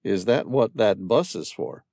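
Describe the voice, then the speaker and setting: Male reader as a probing stranger; calm, persistent, low-key and gravelly. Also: unhurried, dry recording, studio quality, full character voice. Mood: curious. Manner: inquisitive, persistent questioning.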